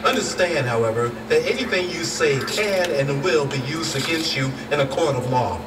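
A man's voice: fairly high pitch, lively intonation, normal speed, close to an everyday voice. A man playing a stern, formal law officer making an arrest in a radio drama. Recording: echoey, distant, noisy radio drama track.

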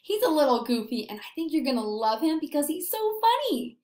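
A young woman with a high voice teaches young children. She speaks simply and encouragingly, posing questions, in a roomy-sounding webcam recording from home. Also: big pitch swings, a little fast, a little mellow. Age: young adult. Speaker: elementary school teacher. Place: indoors, small apartment room.

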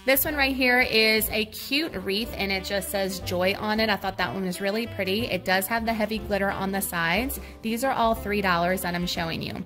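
A woman's voice: medium pitch, moderate pace, few pauses, fairly bright tone, faint music behind. Chatty Southern woman filming a store walk. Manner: chatty, upbeat, describing items and prices. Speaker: shopping vlogger. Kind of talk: casual shop-with-me narration. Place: large retail store, indoors. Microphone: handheld phone camera.

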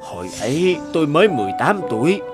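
A man's voice: on the high side, big pitch swings, fast talker, music in the background. A man playing a proud but regretful, homesick middle-aged warrior king in a cartoon fairy tale, speaking in a solemn, reflective way. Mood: wistful, regretful.

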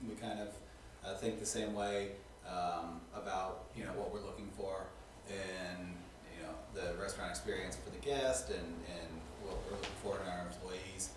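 Low-voiced guy kinda far from the mic, echoey room, lots of ums and restarts. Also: steady tone, background noise. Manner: halting, many fillers and restarts. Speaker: restaurant co-owner. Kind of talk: casual interview answers. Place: small studio on a couch.

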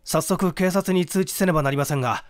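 A man's voice: high voice, fairly quick, dry recording, studio quality, close to an everyday voice. A man voicing a polite, self-satisfied amateur detective in a mystery story, explaining with assurance. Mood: urgent, decisive.

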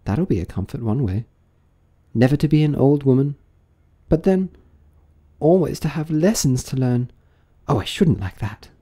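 A man's voice: medium pitch, animated, moderate pace, pauses often, mellow, husky, voice pushed up. A man voicing a curious little girl in a storybook, prim and chatty as she questions herself. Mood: musing. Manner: musing, prim, self-questioning.

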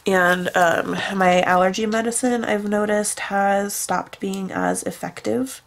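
A woman's voice: mid-range voice, lively intonation, slow, gravelly, slight background noise. A young woman with a stuffy, nasal voice, rambling in casual chat while she decorates a planner at her desk. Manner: rambling, chatty, with many fillers. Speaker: planner vlogger. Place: at a desk indoors, hands on a planner.

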